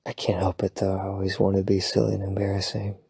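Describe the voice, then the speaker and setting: A man whispering softly at night in a rambling, playful, self-deprecating sleep-aid roleplay. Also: sits low, completely flat delivery, fast, no echo. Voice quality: breathy whisper. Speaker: ASMR boyfriend roleplay creator. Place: home at night.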